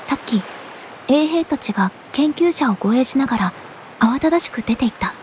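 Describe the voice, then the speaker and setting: A woman voicing an earnest, pensive young woman in an anime-style game, speaking in a serious, measured way. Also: sits high, expressive, darkish tone, a little hiss or hum. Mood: alert. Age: young adult. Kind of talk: Japanese anime game voice acting.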